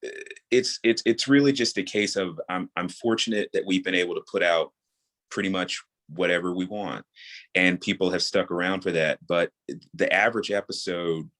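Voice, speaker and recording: A man with a notably low-pitched voice speaks as an interview guest. He explains things in a measured, deliberate, reflective way, as a creator describing his craft. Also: steady tone, a bit bright. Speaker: guest, audio drama creator and voice actor. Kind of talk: reflective podcast interview talk.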